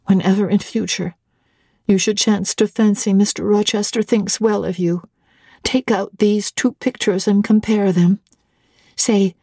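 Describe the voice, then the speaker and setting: A woman's voice: mid-range voice, mellow, slightly rough, dry recording, clean studio sound. A woman playing a young governess who sternly scolds and commands herself in a dramatic literary monologue. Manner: severe, commanding, scornful.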